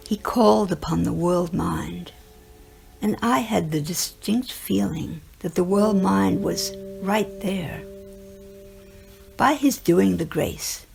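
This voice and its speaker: A woman's voice: on the low side, gravelly. A woman reading first-person recollections aloud as a narrator. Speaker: reader of written testimonials.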